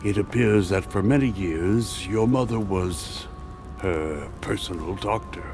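An actor playing a solemn, measured middle-aged statesman in a video game. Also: low voice. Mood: grave. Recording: game audio with music behind.

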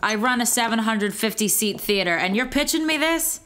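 Brusque, blunt theater owner madam. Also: female voice, a little high, lively intonation, moderate pace, pushed and bright. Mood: brusque, dismissive. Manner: blunt, dismissive. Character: brusque middle-aged masculine madam, cigar-smoking theater owner. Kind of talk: audio theater acting.

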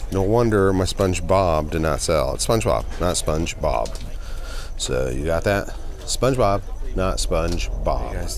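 A man's voice: fairly low pitch, slight background noise. A man casually vlogging as he browses a flea market, chatting upbeat to his viewers. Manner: chatty, upbeat, talks to viewers as "you guys". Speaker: reseller vlogger. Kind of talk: casual walkaround vlog.

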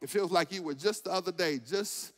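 A man in his forties preaching a sermon as pastor, shifting from loud shouting to quiet reflection and repeating phrases for emphasis. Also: high-pitched, brisk. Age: 40s. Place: large church sanctuary. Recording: long reverb of a large church.

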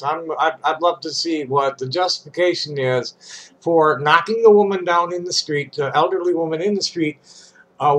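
Stuffy older man, fairly high voice. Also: fairly bright tone. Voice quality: congested, stuffy. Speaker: vlogger. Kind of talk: reading a statement aloud with casual asides. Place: small cluttered room indoors.

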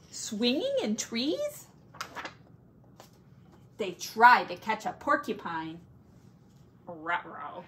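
A woman teacher reading a picture book aloud to young children, with drawn-out emphasis and playful asides. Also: a little high, a little hiss or hum.

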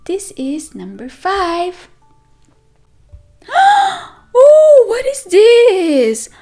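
A woman's voice: very high-pitched, very slow, faint music behind. A woman teacher reading a picture book aloud to toddlers, asking questions and counting along with them. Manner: animated sing-song, asks children questions and counts along. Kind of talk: read-aloud circle time for toddlers. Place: indoors at a table, only hands and book in frame.

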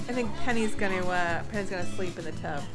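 A woman's voice: fairly low pitch, quick pace, over loud music. A woman in her thirties chatting casually to a handheld camera during a family road trip vlog. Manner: chatty, self-filming with a handheld camera, laughs often. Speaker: family vlogger. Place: outdoors in a yard, then a van and a hotel room. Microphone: handheld action camera at arm's length.

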